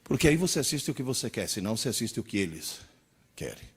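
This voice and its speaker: A man in his sixties from Brazil giving a lively lecture to an audience, asking rhetorical questions and acting out little dialogues. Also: medium pitch, fast, slightly rough. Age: 60s.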